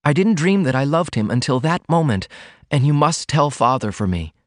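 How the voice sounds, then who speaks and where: A man's voice: fairly high pitch, expressive, moderate pace, no room sound, studio quality, voice pushed up, lightly acted. A man reading aloud, voicing a young woman who speaks in pleading, earnest tones.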